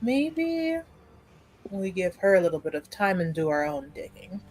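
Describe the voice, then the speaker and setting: Woman playing a caring, unhurried servant woman. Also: lowish voice, sing-song, darkish tone, soft background music. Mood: practical, caring. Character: caring, concerned woman servant tending a hungover friend.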